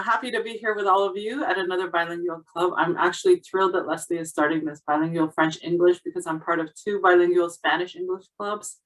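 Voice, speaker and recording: A woman's voice: on the low side, fairly bright tone. Upbeat woman set back from the mic. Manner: upbeat, enthusiastic. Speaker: club co-organizer. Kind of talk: self-introduction at a club meeting. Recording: voice from a distance from the mic.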